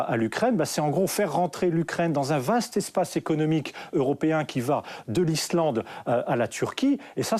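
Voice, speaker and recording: A man's voice: medium pitch, a little mellow. A man reporting live as a news correspondent, explaining analytically and often tagging his phrases.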